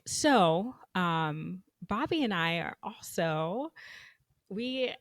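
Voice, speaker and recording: Playful woman with a low gravelly voice. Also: extremely slow, dry recording, clean studio sound. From Zambia. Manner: expressive and playful, with frequent fillers. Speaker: podcast co-host. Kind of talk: casual chat over a video call.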